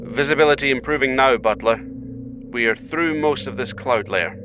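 A man plays a British astronaut captain in a sci-fi audio drama. He reports calmly and formally with a dry wit in a mid-range voice. A clear vehicle rumble runs behind him. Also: normal speed, highs cut off, lightly acted. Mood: composed, focused. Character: brave British astronaut captain, calm, dryly humorous. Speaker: mission captain. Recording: noisy craft rumble behind.

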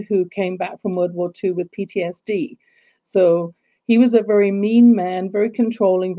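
A woman from England with an English accent, a guest expert telling her story in an interview, measured and reflective. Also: medium pitch, warm tone, lo-fi sound. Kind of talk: interview answers.

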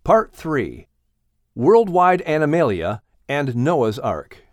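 A man narrating an audiobook in a measured, expressive storytelling manner. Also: on the high side, no echo, clean studio sound. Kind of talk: scripted narration.

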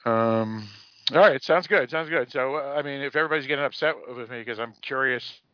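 A man with an American accent hosting a casual discussion podcast about a TV show, speaking in a rambling, halting way with repeated words. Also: mid-range voice, dry recording, highs cut off. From Massachusetts, United States. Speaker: podcast host.

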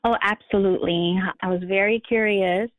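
A woman's voice: medium pitch, steady tone, moderate pace, dry recording. A woman from Jersey City, a guest talking openly about her background in a casual, filler-heavy way over a remote call. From Jersey City, USA. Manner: warm, open, lots of fillers. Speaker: guest, artist and singer-songwriter. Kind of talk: casual interview talk. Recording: dry tinny phone-like line.

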